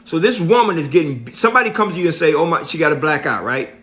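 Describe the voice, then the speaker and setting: A middle-aged man giving a motivational talk on a livestream, slow and preachy, repeating his points and often checking in with "right?". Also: a little high, lively intonation, a bit bright. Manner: slow and deliberate. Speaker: motivational speaker.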